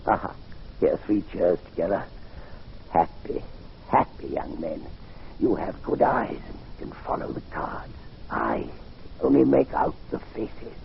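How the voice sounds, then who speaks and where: A gravelly, decadent old invalid. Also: male voice, sits low, big pitch swings, full character voice. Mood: trembling relish. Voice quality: gravelly, breaking into breathy whispers. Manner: theatrical, savoring, halting, courtly. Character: frail, sickly, decadent old gentleman addicted to fear. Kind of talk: old-time radio drama acting. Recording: dull, hissy vintage radio recording.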